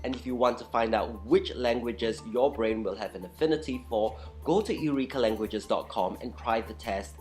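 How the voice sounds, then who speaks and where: An opinionated vlogger of unclear sex giving an explainer talk in a candid, blunt, informal way, stressing points with dramatic repetition. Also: medium pitch. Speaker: vlogger and language enthusiast.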